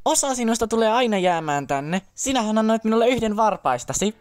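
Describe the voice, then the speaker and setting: A wistful, mystical fairy speaks in a fairly high female voice acted by a woman. The delivery suits an amateur cartoon comedy dub, with faint music underneath. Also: lively intonation, natural voice. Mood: wistful, solemn.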